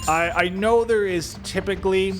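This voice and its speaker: A man's voice: sits high, moderate pace, faint music behind. A man hosting a let's play with casual, rambling commentary and jokey exaggeration.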